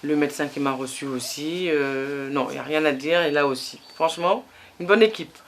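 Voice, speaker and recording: Woman patient, relaxed and approving. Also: sits low, normal speed, slight background noise. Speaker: vaccinated patient. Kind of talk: interview answer.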